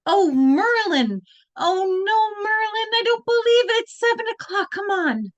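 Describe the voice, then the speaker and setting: A woman's voice: very high voice, measured pace, dull audio. A woman reading the lead teenage girl part in a live video-call read-through, moving between whiny protest and grand recital. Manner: lively, swings between whiny protest and grand recital, breaks off to laugh at slips. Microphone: video call microphone.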